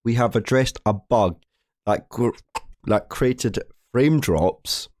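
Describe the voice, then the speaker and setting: A man hosting a gaming update video, hoarse from a cold, who rambles, apologises and often restarts his words. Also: mid-range voice, takes it slowly, darkish tone, no echo, clean studio sound. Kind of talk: casual commentary reading a game update.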